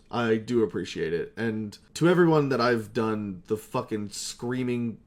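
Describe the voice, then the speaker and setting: Low-pitched young man, rambling and sweary. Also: darkish tone. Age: young adult. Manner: self-deprecating, sweary, tangential. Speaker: amateur voice actor and video creator. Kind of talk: casual rambling retrospective chat.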